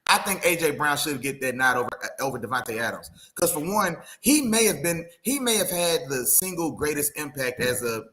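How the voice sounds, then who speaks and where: A man co-hosting a casual sports podcast, animated and opinionated, often saying "you know what I'm saying.". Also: a little high, normal speed, few pauses, low-quality recording.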